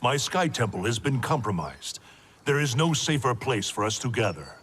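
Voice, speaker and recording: A man playing a wise, solemn thunder god in a video game, speaking in a grave, formal, measured way. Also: mid-range voice, very animated, fairly bright tone, slight background noise. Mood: grave, resolute.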